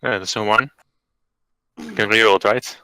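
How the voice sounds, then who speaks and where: A man's voice: fairly low pitch, fairly even intonation, measured pace, sharp tone, a little hiss or hum, low-quality recording. A man playing a tabletop game, curious and polite, talking in and out of character. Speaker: player. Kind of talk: casual tabletop roleplay.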